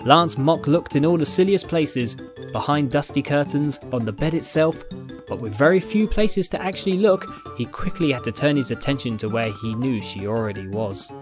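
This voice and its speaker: A male narrator reads a story in a dramatic, scripted storytelling style. His voice sits at an average pitch, with soft music playing underneath. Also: moderate pace. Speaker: narrator, fan fiction reader.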